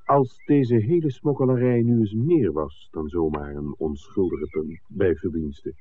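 An actor playing an earnest, persistent visitor looking into village secrets in a 1960s Dutch radio drama, polite and articulate. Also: male voice, sits low, measured pace, husky. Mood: polite, insistent. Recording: thin, phone-like old radio recording.

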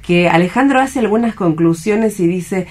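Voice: medium pitch, normal speed, a little mellow. An Argentine host leading a round-table cultural discussion on the radio.

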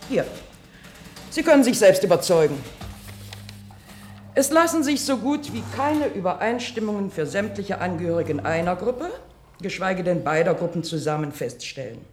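An actress playing an earnest, slightly flustered research assistant in a radio drama. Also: female voice, fairly low pitch, big pitch swings, normal speed, darkish tone, faint music behind. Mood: earnest reporting. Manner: precise reporting, then hesitant and defensive. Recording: roomy radio play, faint background noise.

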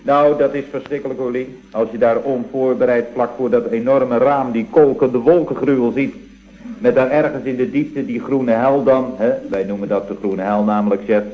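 A man's voice: mid-range voice, steady tone, normal speed, lightly acted. Man acting an apologetic underling, muffled roomy recording. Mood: earnest explaining. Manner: apologetic, hesitant, explanatory. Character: deferential male employee, earnestly excusing his shabby flat. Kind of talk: vintage Dutch radio comedy acting. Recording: dull, boxy vintage radio recording, roomy.

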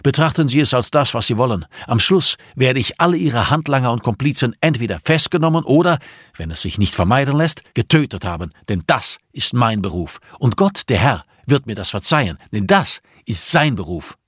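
A man's voice: mid-range voice, expressive, moderate pace, slightly rough, no room sound, studio quality, pitched above the performer's own voice. A man as a merciless, threatening police investigator. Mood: cold, resolute. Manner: forceful, clipped, menacing.

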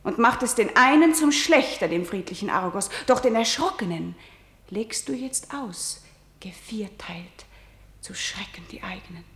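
A woman's voice: mid-range voice, animated, unhurried, fairly bright tone, roomy sound. A woman playing a defiant, unbending young princess, declaiming with intensity in a verse radio drama. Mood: bitter accusation.

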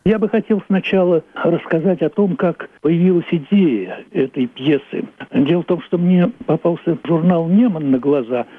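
Older male playwright, unscripted recollection, slightly raised pitch, husky edge, dry phone-quality audio. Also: normal speed, no echo. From Leningrad, Russia. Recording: muffled, phone-like narrowband audio.

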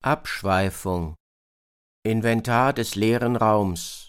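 Low-voiced man, scripted radio narration. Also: slow, clear, resonant tone.

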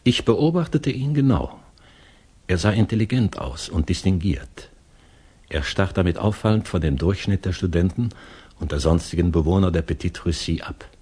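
A middle-aged English expatriate in a vintage radio play. His voice is low and husky, his manner polite, dry and deliberate. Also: darkish tone, heavily acted. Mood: reflective. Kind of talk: 1960s German radio drama acting, dialogue and narration.

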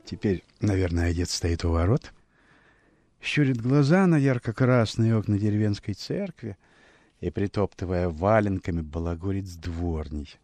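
Deep, mellow male storyteller. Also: moderate pace, faint music behind. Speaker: narrator, actor reading a classic story. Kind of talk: expressive literary narration for radio.

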